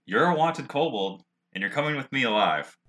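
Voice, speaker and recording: A man's voice: medium pitch, lively intonation, brisk, sharp tone, voice pushed up. A man playing a stern, curt gnome bounty hunter in a tabletop game, speaking formally and without nonsense.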